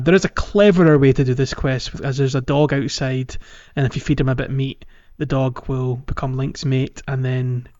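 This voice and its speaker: A man's voice: mid-range voice, darkish tone. A man co-hosting a podcast, chatting casually about a video game and explaining it with some searching for details. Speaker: podcast co-host. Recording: dull, lo-fi sound.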